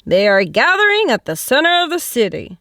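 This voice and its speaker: A woman's voice: a little high, huge pitch swings, fairly quick, fairly bright tone, no room sound, voice pushed up, exaggerated voice. A woman voicing a hearty, commanding male train conductor in a children's storybook, calling out his announcements with emphasis. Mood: assured. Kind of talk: children's storybook read-aloud.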